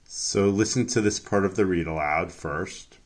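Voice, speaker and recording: A man explaining an assignment as a teacher, in a deliberate, careful manner. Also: sits low. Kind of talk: instructional screen explanation for students.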